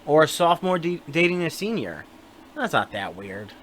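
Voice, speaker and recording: A man's voice: a little high, sing-song, moderate pace, fairly bright tone, a little hiss or hum. A man co-hosting a podcast, chatting casually, hesitant and full of "like". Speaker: podcast co-host. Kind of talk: casual chat.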